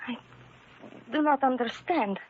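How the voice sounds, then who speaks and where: High-voiced, wounded immigrant wife. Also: female voice, a little hiss or hum. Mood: bewildered, disbelieving. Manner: halting, formal phrasing without contractions. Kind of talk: 1940s radio drama acting. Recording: muffled, worn 1940s radio recording.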